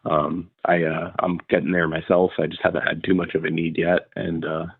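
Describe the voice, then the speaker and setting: A man giving casual solo commentary on tech as a podcast host, talking in a halting, rambling way. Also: low-pitched, level tone, creaky, husky, no echo. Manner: halting, hedging, rambling delivery. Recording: narrow phone-like sound.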